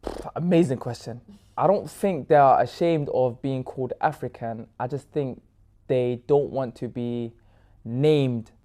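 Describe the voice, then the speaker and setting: A man's voice: medium pitch, unhurried, warm tone. Earnest, outspoken male guest. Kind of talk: casual conversation.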